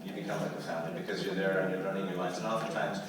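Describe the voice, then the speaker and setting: A man's voice: deep voice, fairly even intonation, rapid-fire, recorded from a distance. A man answering audience questions on a convention panel, rambling, repeating words and laughing often. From Canada. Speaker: convention panel guest actor. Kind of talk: convention Q&A talk. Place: large convention hall with audience. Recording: echoey hall recorded from afar, crowd noise.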